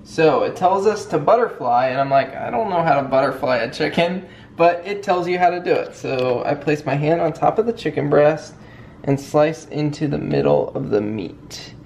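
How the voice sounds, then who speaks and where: A man's voice: a little high, animated, normal speed, vocal fry, darkish tone, slight background noise. A young man co-hosting a casual cooking vlog in his home kitchen, plainly explaining each step. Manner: easygoing, explaining steps plainly. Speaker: vlog co-host. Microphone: handheld camera.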